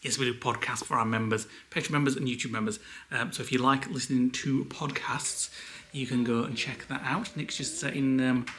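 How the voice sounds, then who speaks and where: A man co-hosting a vlog, talking casually to camera in a soft voice, happy to chat on and on. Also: medium pitch, fairly even intonation, brisk, slight background noise. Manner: soft-spoken but endlessly chatty. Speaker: vlog co-host.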